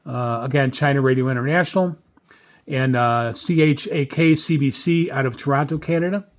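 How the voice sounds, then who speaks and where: A man talking informally as a radio hobbyist host, halting often as he reviews his notes. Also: mid-range voice. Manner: halting, frequent hesitations. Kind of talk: informal spoken log review.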